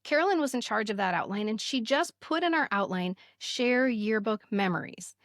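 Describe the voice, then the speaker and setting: A woman's voice: fairly high pitch, animated, normal speed, a bit bright, dry recording, studio quality. A woman in her fifties co-hosting a podcast in a conversational, lightly scripted style. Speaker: podcast co-host.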